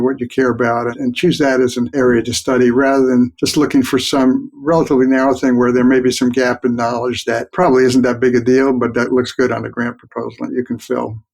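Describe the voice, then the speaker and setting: A mature man giving reflective, explanatory answers as an expert guest in an interview, speaking in a measured, plain-spoken way. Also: mid-range voice, darkish tone. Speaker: guest expert, physician researcher.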